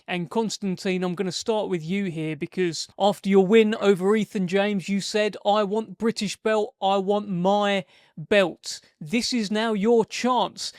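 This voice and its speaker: High-pitched man hosting a sports interview. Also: no echo, clean studio sound. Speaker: host and interviewer.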